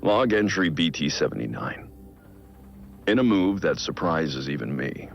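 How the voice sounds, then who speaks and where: An actor playing a brooding billionaire vigilante, recording a measured, reflective personal audio log in a game. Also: male voice, muffled recording, full character voice. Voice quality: low gravelly voice. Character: brooding billionaire vigilante, man in his prime.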